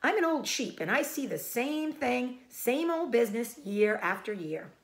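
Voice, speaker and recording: Elderly female sheep for a storybook, woman performer: raised pitch, blunt, snappish, world-weary. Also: sing-song, a little slow. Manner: loud, blunt, matter-of-fact.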